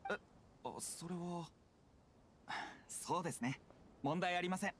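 An actor playing a humble young street food vendor in an anime game, speaking politely and earnestly. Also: male voice, high-pitched, a little slow, a bit bright, slight background noise. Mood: flustered. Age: young adult. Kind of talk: Japanese anime game voice acting.

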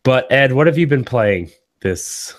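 A young man with a cold-hoarse voice hosting a casual gaming podcast, rambling and restarting his thoughts, recorded on a studio mic at home. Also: mid-range voice, animated, unhurried. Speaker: podcast host. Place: small home studio with green backdrop. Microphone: studio condenser mic on boom arm.

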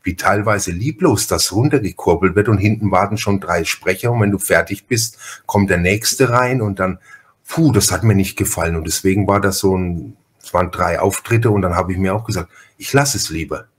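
Male podcast host, Franconian accent, lowish pitch, casual chat, rambling delivery with repeated phrases. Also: normal speed. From Franconia, Germany. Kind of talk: casual conversational interview.

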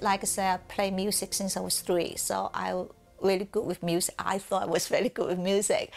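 A middle-aged woman from southern China with a Chinese accent, telling her life story candidly in an interview. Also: mid-range voice. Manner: candid, reflective, laughs softly now and then. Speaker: musician.

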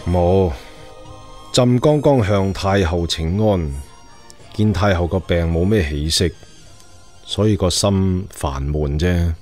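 Low-voiced, imperious emperor. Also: male voice, takes it slowly, a little mellow, faint music behind. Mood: brooding. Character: Qing emperor, suspicious, stern, commanding ruler. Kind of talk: Cantonese radio drama acting.

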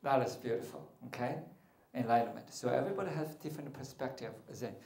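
A man's voice: medium pitch, quick pace, creaky, darkish tone. A middle-aged man teaching meditation to an audience, joking and asking them questions, speaking into a handheld mic in a large hall. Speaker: martial arts and meditation teacher. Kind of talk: playful spiritual talk to an audience. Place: large hall with curtained stage. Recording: echoey hall.

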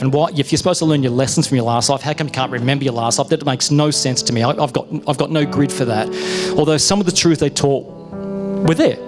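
Australian man telling his church testimony. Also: on the high side. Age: middle-aged. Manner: conversational storytelling with self-interruptions. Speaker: guest speaker. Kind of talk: personal testimony. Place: dark church stage. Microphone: handheld mic.

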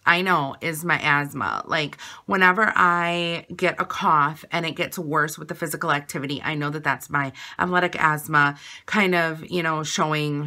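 A woman in her forties talks casually to a phone camera in a dim bedroom, rambling with plenty of ums. Her voice is raspy and airy from asthma, low in pitch yet bright and edgy in tone. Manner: chatty, rambling with frequent ums. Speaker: vlogger. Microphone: webcam or phone at arm's length.

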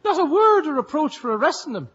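An actor playing a simple, fawning Irish village policeman's underling with a squeaky voice in a radio comedy. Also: very animated, fast talker, fairly bright tone, exaggerated voice. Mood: admiring. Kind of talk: 1950s radio comedy acting. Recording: muffled vintage radio broadcast.

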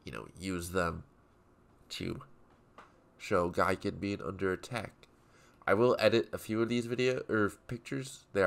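A young man talks in a very low, gravelly voice, his nose clearly stuffed up. He rambles offhandedly and keeps correcting himself mid-thought, like someone chatting while his hands are busy at a desk. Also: a bit bright. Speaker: toy photography YouTuber. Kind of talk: casual rambling commentary while working. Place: home desk with small photo set.

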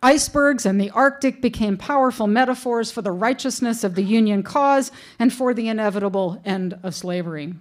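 A woman's voice: fairly high pitch, brisk, hardly any pauses. A middle-aged woman reading a prepared lecture from notes at a lectern, with dry humorous asides, into a lectern microphone in a wood-paneled room.

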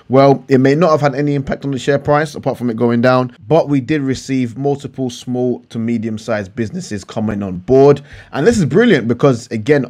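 A man's voice: mid-range voice, darkish tone. Man giving emphatic informal commentary. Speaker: finance YouTuber. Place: indoor room at a computer.

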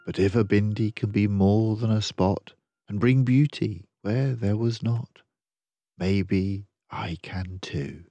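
Storyteller: older man, slightly husky and close-recorded, expressive, unhurried read-aloud for young children. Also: fairly low pitch, no echo. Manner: measured, deliberate, rhyming delivery. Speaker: storyteller reading a children's picture book.

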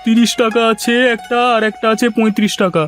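A man's voice: high voice, fairly even intonation, fast, fairly bright tone, faint music behind. A man voicing an obliging village shopkeeper in a cartoon dub. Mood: obliging, businesslike. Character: obliging village grocer selling rice.

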